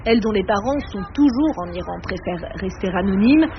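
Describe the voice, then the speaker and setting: A woman's voice: medium pitch, animated, brisk, muffled recording, a little hiss or hum. Woman reporter narrating a radio report. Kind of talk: scripted news narration.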